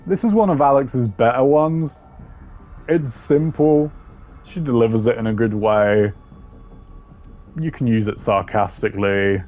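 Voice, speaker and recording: A man's voice: medium pitch, unhurried, pauses often, darkish tone, dull audio. A man giving casual, opinionated commentary as a gaming video creator, blunt and informal with plenty of slang. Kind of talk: casual opinionated commentary ranking game voice lines.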